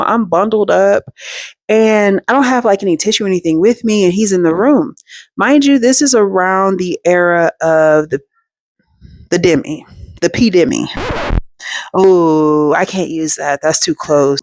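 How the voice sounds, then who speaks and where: A woman's voice: medium pitch, unhurried, dry recording. Chatty slangy woman with a dry sound. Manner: chatty, slangy and animated, with many asides. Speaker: storytime host. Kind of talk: casual storytelling to viewers.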